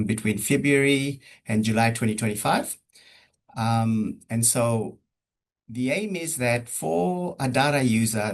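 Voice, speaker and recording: A man with a New Zealand accent presenting a research data workshop over a webinar, hesitating often with ums and uhs. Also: mid-range voice.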